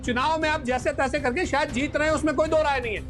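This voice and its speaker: A man's voice: extremely high pitch, fairly even intonation, quick pace, a bit bright. A man on a televised news debate panel, arguing in an insistent, scolding manner. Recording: TV broadcast with music behind.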